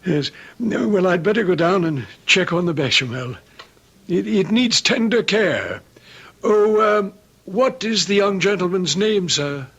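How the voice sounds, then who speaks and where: An actor playing a mild-mannered, proper manservant in a radio drama, polite, tactful and a little hesitant. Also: male voice, high-pitched, expressive, unhurried, a bit bright, husky, exaggerated voice. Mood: discreet, apologetic. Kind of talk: old-time radio drama acting. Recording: dull lo-fi radio drama recording.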